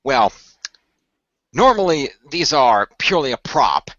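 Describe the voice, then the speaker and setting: Casting: a man as composed male squad leader, matter-of-fact, forward bright voice, close dry mic. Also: medium pitch, sing-song, unhurried, dry recording, muffled recording. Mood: explaining. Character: Noah, brisk composed male leader of a hero team. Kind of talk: amateur radio play acting.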